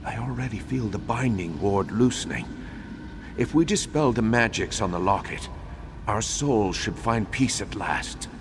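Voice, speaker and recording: A man voicing a bitter, grieving male spirit in a fantasy game, solemn and anguished, with a gravelly voice. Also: on the low side, a little slow, in a large hall, background noise. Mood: solemn, hopeful. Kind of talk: dramatic fantasy game dialogue.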